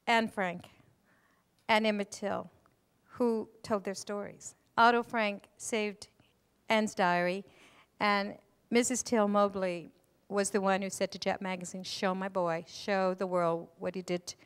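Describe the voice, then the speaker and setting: An American woman in her sixties hosting a live event, speaking emphatically and deliberately into a handheld microphone. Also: mid-range voice, clean tone. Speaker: event host and moderator. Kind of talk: addressing a live audience on stage. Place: auditorium stage before an audience. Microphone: handheld stage microphone.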